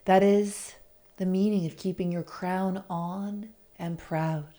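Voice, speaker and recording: A woman leading a guided meditation in a soothing, deliberate, gently inviting manner. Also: fairly low pitch, steady tone. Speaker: guest meditation facilitator.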